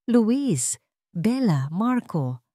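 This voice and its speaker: A woman's voice: medium pitch, very animated, very slow, warm tone, no echo, clean studio sound, pitched above the performer's own voice. A woman voicing a betrayed elderly widowed father in an audio story, speaking solemnly, with emotion and emphasis. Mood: stern. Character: betrayed elderly widowed father, dignified, wounded but resolute. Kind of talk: dramatic audio-story dialogue.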